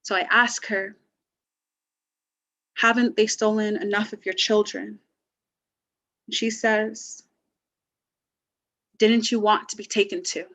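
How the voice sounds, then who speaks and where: Teen girl poet, slow and level. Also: medium pitch, fairly even intonation, a bit bright. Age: teens. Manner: slow, deliberate recitation. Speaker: youth poet. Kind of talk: spoken word poetry reading.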